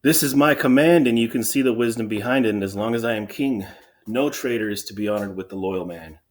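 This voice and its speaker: A man's voice: on the low side, fairly quick, pitched above the performer's own voice, lightly acted. A man playing a stern, unbending king, declaiming formally and emphatically in a dramatic reading of Greek tragedy. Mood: commanding.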